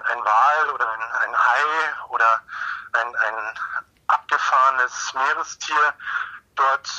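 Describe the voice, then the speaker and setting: Guest expert, male, from Munich, bright sharp tone, halting with fillers, phone-interview sound. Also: mid-range voice, rough recording. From Munich, Germany. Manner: hesitant, many "äh" fillers and restarts. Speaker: marine biologist guest. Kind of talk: explanatory interview answers.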